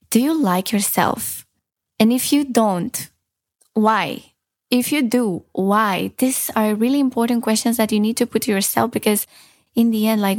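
A woman's voice: medium pitch, no room sound. Earnest young woman, dry close voice. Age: 20s. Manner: earnest, frequent "like" fillers, repeats phrases for emphasis. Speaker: self-help vlogger. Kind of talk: casual motivational talk to camera.

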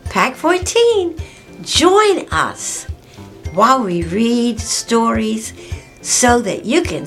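A woman reads a story aloud for young children in a high, very animated voice. She draws out and repeats words for emphasis, with a creaky edge to her exclamatory delivery. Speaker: children's story-time host and reader.

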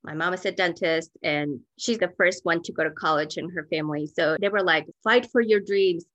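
A woman from Mexico whose first language is Spanish speaks as an interview guest. Her voice is bright and a little low, recorded very dry. She tells personal stories in a candid, informal way. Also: moderate pace, no echo. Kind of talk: conversational personal storytelling.

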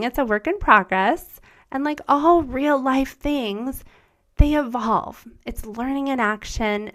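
A woman's voice: fairly high pitch, a bit bright. A woman in her forties, a native German speaker from the United States, hosting a solo podcast in an upbeat, encouraging, earnest way. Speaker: podcast host. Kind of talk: scripted solo podcast monologue.

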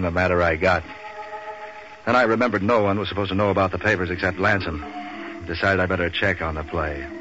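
Man acting a low-voiced, deadpan 1940s radio tough guy. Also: close to an everyday voice. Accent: American. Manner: clipped wisecracking deadpan tough talk. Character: tough cynical street-wise waterfront man for hire. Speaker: lead character and narrator. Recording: dull, noisy old radio recording.

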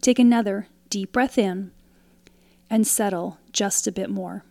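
A woman leading a guided meditation in a calm, soothing, instructional way, leaving pauses between short phrases. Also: medium pitch, creaky, dry recording. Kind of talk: guided meditation, scripted narration.

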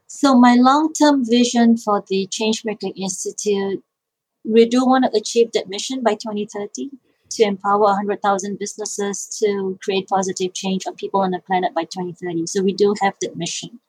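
A woman's voice: medium pitch, fairly even intonation, normal speed, a little mellow, breathy edge, no echo, rough recording. A middle-aged woman from Malaysia, answering interview questions thoughtfully, often repeating words as she restarts. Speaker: guest, social enterprise founder and IP lawyer.

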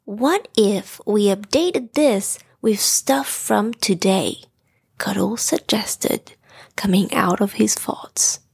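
Woman reading a bedtime story to kids, slow, kinda husky with some fry, dry close sound. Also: medium pitch, no room sound. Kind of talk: children's bedtime story narration.

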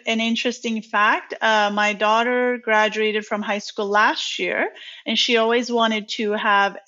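A woman's voice: mid-range voice, bright, forceful tone. A woman in her forties, originally from Turkey, answering interview questions in a chatty way with many ums and restarts. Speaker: podcast guest, training company founder. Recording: dull lo-fi sound.

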